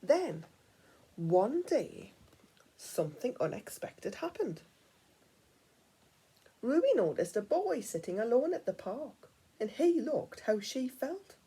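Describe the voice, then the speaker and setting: A woman reading a children's picture book aloud like a teacher, with dramatic storytelling that dips into hushed, breathy tones. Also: fairly high pitch, very animated, slow, pauses often.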